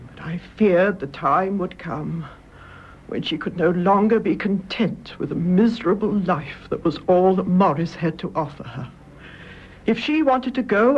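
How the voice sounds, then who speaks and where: An elderly British mother played by an actress speaks with measured, genteel frankness and deep devotion. It is radio drama, with a dull sound and clear noise behind the voice. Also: close to an everyday voice. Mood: earnest confession. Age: older.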